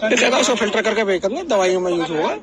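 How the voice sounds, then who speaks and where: A man with a western Uttar Pradesh accent, a politician giving a halting, repetitive impromptu answer in an interview. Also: sits high, animated, very noisy. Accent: western Uttar Pradesh Hindi. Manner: halting, repeats himself.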